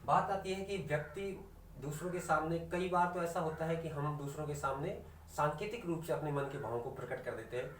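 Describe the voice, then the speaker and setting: A man's voice: a little high, a little hiss or hum. A man teaching a Hindi class online, asking his students questions, answering them himself and repeating the key terms. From India. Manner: slows right down to stress definitions. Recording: echoey room recorded from a distance.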